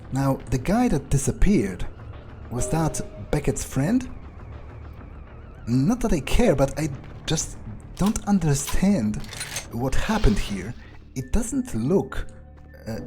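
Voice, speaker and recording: A man voicing an arrogant, brooding vampire in a game playthrough, musing aloud in a halting, profane way. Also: mid-range voice, dark tone. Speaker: gaming YouTuber. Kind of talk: in-character game playthrough monologue, thinking aloud.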